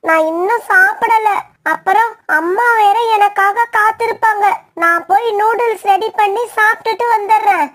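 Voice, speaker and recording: A woman's voice: very high-pitched, moderate pace, fairly bright tone. A woman voicing a whiny, pleading young girl sparrow in a children's cartoon. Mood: hurried.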